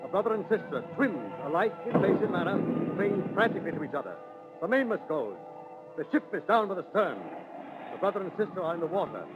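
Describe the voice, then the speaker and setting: A man's voice: high-pitched, very animated, fast talker, very noisy. Theatrical male radio narrator, tinny old recording. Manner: declamatory, storytelling. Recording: tinny 1930s radio, music behind.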